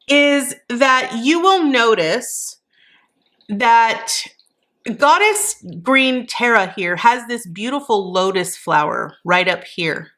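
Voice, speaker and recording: A woman giving a monthly tarot reading in a reflective, encouraging way, with a rough voice and a slight cough. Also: mid-range voice, a bit bright. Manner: reflective and encouraging, with many fillers. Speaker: psychic tarot reader.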